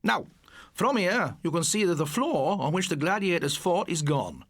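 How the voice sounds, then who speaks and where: A strict, sardonic schoolmaster, acted by a man, instructs with dry sarcasm in a storybook reading. The pitch sits fairly high and moves expressively, in a dead, close recording. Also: sing-song, moderate pace, a bit bright, no room sound, heavily acted. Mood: stern, instructive. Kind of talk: audiobook character voice.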